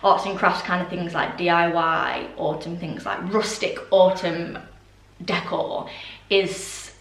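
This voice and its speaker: A young woman with a voice going hoarse, chatting casually through a home haul with lots of ums and asides. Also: fairly low pitch, slow pace, reverberant, distant mic. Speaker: vlogger. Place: orangery at home, indoors.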